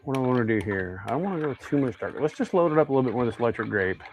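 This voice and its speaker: A man's voice: mid-range voice, animated, racing, background noise. Folksy, easygoing American man. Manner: folksy, easygoing, thinks aloud while working. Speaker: host of a fishing lure making livestream. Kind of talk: casual live demonstration with chat to viewers.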